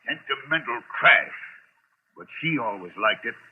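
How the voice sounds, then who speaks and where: A man's voice: mid-range voice, lively intonation, unhurried, close to an everyday voice. An actor playing a pompous, snobbish middle-aged businessman with a haunted streak, clipped and imperious, in old-time radio drama style. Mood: grave, subdued. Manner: imperious, clipped, self-important. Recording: noisy, tinny vintage radio recording.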